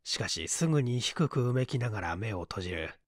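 A man's voice: fairly low pitch, expressive, brisk, dry recording, studio quality. A man reading a literary mystery story aloud as scripted, dramatic audiobook narration. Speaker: audiobook narrator, stage actor.

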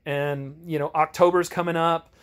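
Man, slightly high voice, casual amateur reviewer, earnest, conversational, enthusiastic, rambling delivery. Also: level tone, moderate pace, a bit bright. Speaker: amateur tabletop game reviewer and host. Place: home garage.

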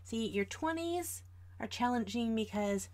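A woman's voice: a little high, animated, measured pace, darkish tone. An American woman of about forty chatting casually and informally to viewers as the host of an art livestream. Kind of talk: casual livestream chat while drawing.

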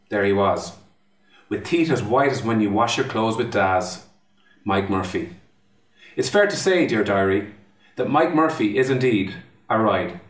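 An Irish man in his thirties reading a book aloud dramatically. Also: on the low side. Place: indoor room with piano. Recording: roomy, somewhat distant microphone.

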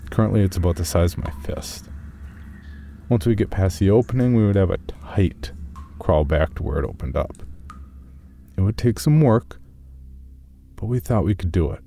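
A man's voice: deep voice, animated, normal speed, long pauses, dark tone, a little hiss or hum. A man narrating a first-person journal as an audio story.

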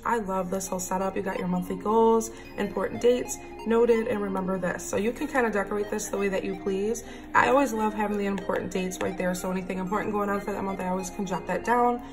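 Raspy-voiced woman chatting over a planner. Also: steady tone, fairly quick, over music. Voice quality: raspy, slightly deep morning voice. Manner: chatty, enthusiastic. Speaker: planner vlogger. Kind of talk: casual haul and flip-through.

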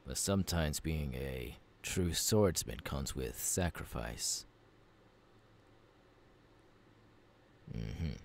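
A man voicing a gruff, easygoing pirate captain in an ASMR roleplay, gravelly and unhurried, talking intimately to the listener. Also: extremely low pitch, darkish tone, heavily acted. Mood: wistful, easygoing. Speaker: voice actor.

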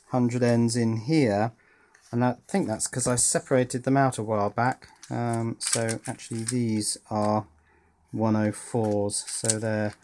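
British male hobbyist presenter, medium pitch, informal, thinking out loud with hesitations. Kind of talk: casual hands-on project narration. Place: indoors at a workbench.

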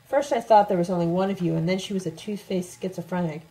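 Audiobook lady doing a drunk nasty older woman, taunting, shouty, bitter as hell. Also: on the low side, quick pace, close to an everyday voice, low-quality recording. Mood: hateful. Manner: shouting, sneering, accusatory.

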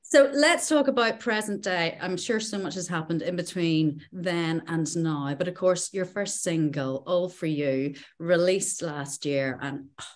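Middle aged radio lady, bit low voice, chatty n excited on a video call. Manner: warm, enthusiastic, talks quickly in bursts. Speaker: radio presenter. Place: small indoor room.

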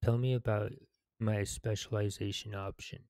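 A man in his thirties reading game quest text aloud in a deliberate, halting way. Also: lowish voice, steady tone, gravelly, darkish tone.

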